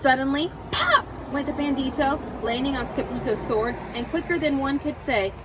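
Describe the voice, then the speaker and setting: Over clear background noise, a woman with a fairly high voice reads a children's storybook aloud. Her delivery is lively and dramatic, swinging up and down as she tells the tale and sprinkles in Spanish words. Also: moderate pace. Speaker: storybook reader. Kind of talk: animated children's read-aloud.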